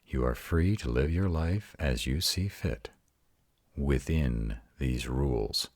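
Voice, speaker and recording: A man voicing an arrogant, commanding vampire in an audio roleplay, speaking in a formal, measured and stern manner. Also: very deep voice, darkish tone, dry recording, clean studio sound, full character voice.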